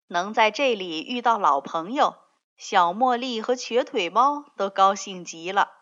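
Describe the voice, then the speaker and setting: A woman narrating a scripted fairy tale for young children in an expressive storytelling manner. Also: medium pitch, unhurried, lo-fi sound.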